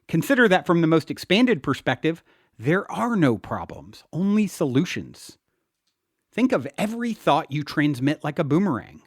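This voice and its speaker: A man reading his own self-help audiobook in an earnest, deliberate, instructional way. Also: a little high, big pitch swings, no echo, studio quality.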